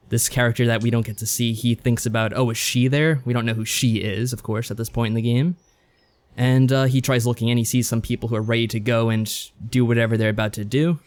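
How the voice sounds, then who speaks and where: A man with a medium-pitched voice co-hosts a podcast. He talks casually, walking through his review in a conversational style. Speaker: podcast co-host. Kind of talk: casual review and plot summary.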